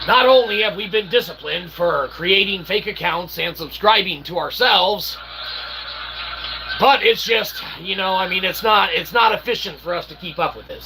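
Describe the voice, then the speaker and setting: A man's voice: high-pitched, noisy background. A man giving a rambling informal monologue in mock-formal, wordy phrasing, often checking in with a short questioning tag. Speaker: channel host and self-published author.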